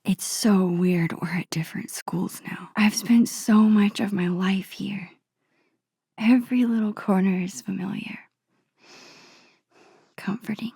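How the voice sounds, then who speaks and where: Sentimental, shy teen girl, video game inner monologue. Hushed, husky-soft delivery, medium pitch, dry studio sound. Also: steady tone, unhurried, pauses often, dry recording. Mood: wistful. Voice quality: soft, breathy, whispery. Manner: gentle, musing, talking to herself. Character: teenage girl, shy, wistful, nerdy and sentimental. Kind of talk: introspective game character monologue.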